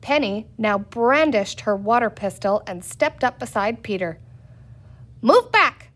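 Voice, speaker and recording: A woman reading a children's book aloud as a storyteller, in an expressive style. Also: mid-range voice, unhurried. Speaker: story reader.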